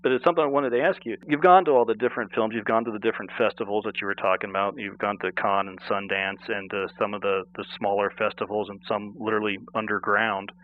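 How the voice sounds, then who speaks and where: A man's voice: lowish voice, fairly even intonation, quick pace, few pauses. Male interviewer, casual and agreeable, narrow phone-line audio. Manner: chatty, agreeable. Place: phone call.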